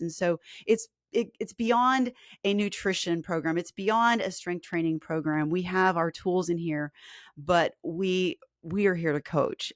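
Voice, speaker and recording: A woman in her forties, a fitness coach, talking casually on a live stream with a hoarse, scratchy voice and lots of ums. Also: lowish voice, no room sound, studio quality. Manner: chatty and earnest, with filler ums and restarts. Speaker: fitness coach and podcast host.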